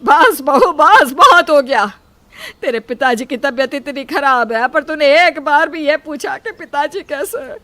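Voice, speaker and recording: A woman's voice: high-pitched, lively intonation, a little slow, bright, forceful tone, dry recording, voice pushed up, exaggerated voice. Woman acting a long-suffering old mother-in-law. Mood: tearful anger. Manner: meek, pleading.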